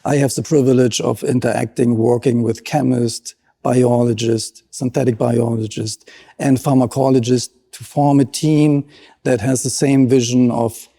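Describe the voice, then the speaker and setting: German-accented man, middle-aged, gravelly, very dark tone, earnest scientist guest. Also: mid-range voice. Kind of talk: enthusiastic explanatory interview talk.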